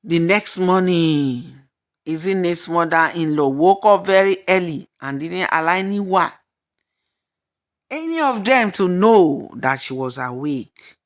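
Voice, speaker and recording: Female storyteller for folktale narration, reading at a markedly slow, measured pace. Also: fairly low pitch, lively intonation.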